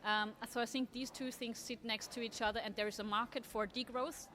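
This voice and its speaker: A woman from Austria, answering interview questions in a thoughtful, measured way at a table at a design fair. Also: on the high side, fairly even intonation, bright, forceful tone, slight background noise. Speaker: interview guest, trend forecaster. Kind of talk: conversational interview.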